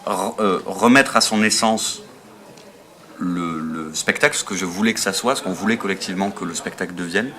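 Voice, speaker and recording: A man's voice: deep voice, normal speed, sharp tone. A man, a theatre artist, reflecting on his work in a casual, hesitant interview.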